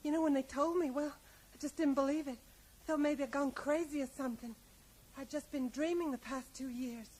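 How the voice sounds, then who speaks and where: An actress playing a plain-spoken, resigned small-town American widow in a radio drama, rambling and often ending on a little question. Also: female voice, sits high, brisk, a little mellow, lightly acted, rough recording. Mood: shaken, wistful. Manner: rambling, ends remarks with tag questions. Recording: faint noise, 1980s radio play.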